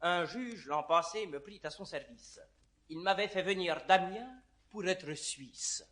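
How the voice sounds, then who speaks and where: An actor playing a talkative, sly, grumbling servant in a classical French verse comedy, theatrical and declamatory. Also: male voice, high voice, measured pace, fairly bright tone. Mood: boastful, amused. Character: comic talkative male servant doorkeeper, sly, grumbling, sleepy.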